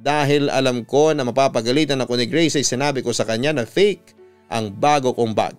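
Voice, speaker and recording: A man's voice: mid-range voice, fairly quick, clear, resonant tone. A Filipino man who works as a radio announcer, reading out a listener's story as dramatic scripted narration. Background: music behind the voice.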